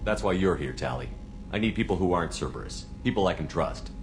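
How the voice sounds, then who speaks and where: A man's voice: deep voice, animated, fast. An actor voicing a hardened male starship commander who speaks firm and direct, in a game cutscene. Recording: game audio with faint ambient noise.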